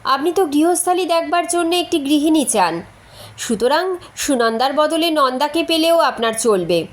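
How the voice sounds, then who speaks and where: A woman's voice: sits high, lively intonation, moderate pace. A woman narrator voicing a frank, playful young woman reading out her chatty letters in a storybook. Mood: candid, persuasive.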